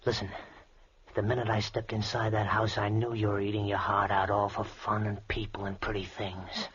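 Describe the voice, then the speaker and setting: An actor playing a brash, slangy, scheming hired hand in an old-time radio drama, with a folksy, pushy manner. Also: male voice, lowish voice, little pitch movement, moderate pace, fairly bright tone, lightly acted. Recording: muffled, noisy old radio recording.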